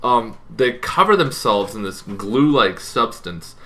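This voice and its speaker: A man's voice: mid-range voice, expressive, slow, pushed and bright. A man explaining tabletop game monsters in a casual, semi-improvised way, correcting himself and adding informal asides as he goes. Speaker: host of a tabletop gaming channel.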